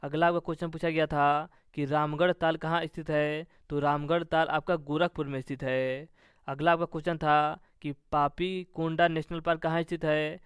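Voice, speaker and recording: A man with a slightly high, clear voice works through an exam review as a coaching teacher. His intonation stays very flat as he methodically reads out each question and follows it with the answer. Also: no room sound, clean studio sound. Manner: methodical, repetitive question-then-answer delivery.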